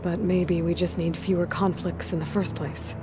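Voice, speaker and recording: A woman's voice: fairly low pitch, little pitch movement, moderate pace, close to an everyday voice. A woman playing a determined, guarded young hunter in game dialogue, matter-of-fact and understated, with music behind. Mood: thoughtful, gentle. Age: young adult. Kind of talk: video game cutscene dialogue.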